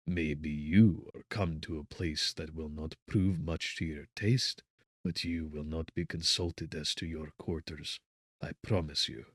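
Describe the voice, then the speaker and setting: A surly Irish townsman, played by a male narrator in a gothic audiobook. The voice is very deep and hoarse. He speaks curtly, with open threat, in a dry recording. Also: fairly even intonation, unhurried, no room sound. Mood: menacing. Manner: curt, threatening. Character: surly, hostile Irish townsman, gruff and menacing.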